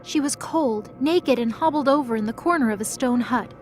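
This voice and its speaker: A woman's voice: fairly high pitch, normal speed. A woman narrating a scripted audio drama as a storyteller. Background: music.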